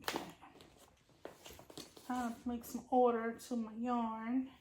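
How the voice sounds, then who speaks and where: A woman, chatting casually about her crochet work in a rambling, informal way while her voice is a bit hoarse. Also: fairly high pitch, slow, slight background noise, rough recording. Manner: relaxed, rambling, many ums, informal slang. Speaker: crochet vlogger. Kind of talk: casual chat while crafting.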